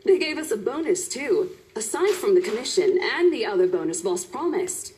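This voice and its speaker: A woman's voice: on the high side, very animated, normal speed, a little mellow, a little hiss or hum. A woman voicing a cheerful young estate agent colleague in a visual novel, playful and teasing.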